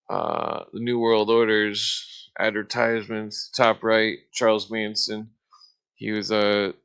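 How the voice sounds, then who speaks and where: A man's voice: lowish voice, bright, forceful tone, clean tone. A man hosting a casual livestream chat, talking in a rambling, profane way. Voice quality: smoker's cough. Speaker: livestream host.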